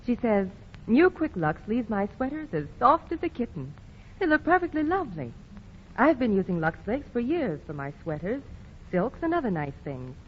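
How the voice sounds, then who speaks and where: A woman's voice: fairly high pitch, very animated, moderate pace. A woman in a 1940s radio commercial, reading a testimonial in a chirpy, eager voice that leaps up and down. The sound is dull like an old broadcast, with a faint hiss behind. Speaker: commercial co-announcer.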